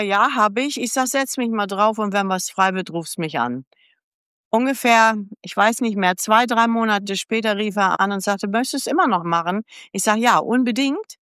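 A woman's voice: mid-range voice, fairly bright tone, smooth, no echo, clean studio sound. A woman around seventy, born in the United States, candidly telling her life story as a guest in a casual interview and acting out past conversations. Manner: candid, chatty storyteller who acts out past dialogue. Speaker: podcast guest.

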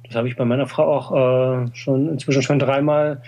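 A man's voice: medium pitch, little pitch movement, normal speed, mellow, lo-fi sound. A middle-aged man in an interview, explaining Chinese medicine with many fillers and tag questions. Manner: explanatory, frequent "äh" and "ne?". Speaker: TCM practitioner. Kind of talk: conversational interview.

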